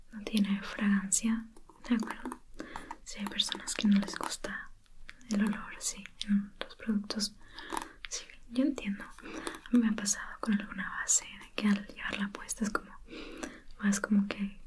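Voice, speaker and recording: A woman speaking in a breathy whisper, gently soothing the listener as a spa beautician in an ASMR roleplay. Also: mid-range voice. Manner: gentle, soothing, intimate, reassuring. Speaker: ASMR video creator playing a spa beautician.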